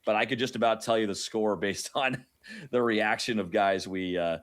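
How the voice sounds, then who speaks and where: A man speaks as a guest on sports radio. His voice is on the low side, and he gives casual commentary as a play-by-play announcer would in an interview. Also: normal speed.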